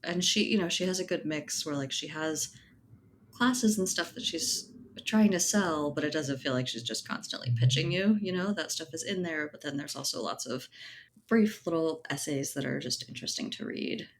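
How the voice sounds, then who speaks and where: Low-voiced woman chatting in an interview. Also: moderate pace, slight background noise. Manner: conversational, thinking aloud, often tags points with "right?". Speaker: guest, writer and editor. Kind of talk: casual podcast interview chat.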